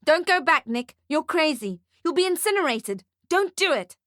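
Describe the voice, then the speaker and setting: A woman's voice: high voice, lively intonation, normal speed, a bit bright, no room sound, studio quality, pitched above the performer's own voice. Woman acting a pushy, emphatic male photographer. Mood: urgent.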